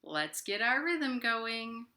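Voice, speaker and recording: A woman's voice: fairly high pitch, big pitch swings, takes it slowly, very noisy. A woman hosting a children's storytime from home, speaking directly to young listeners as she tells stories. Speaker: library storytime host. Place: at home, indoors.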